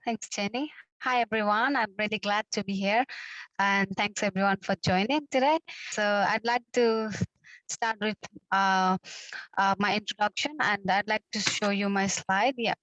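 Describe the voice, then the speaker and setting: A young woman from Nepal, presenting her job in a webinar over a video call, pausing often with fillers. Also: mid-range voice, steady tone, fairly bright tone, no echo, poor audio. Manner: hesitant, many fillers. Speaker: data center technician.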